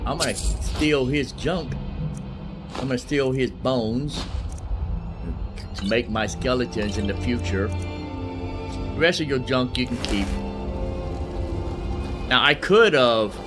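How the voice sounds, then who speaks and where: A man's voice: mid-range voice, takes it slowly, long pauses, over music. A man giving casual gameplay commentary, explaining things and often repeating himself. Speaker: gaming host.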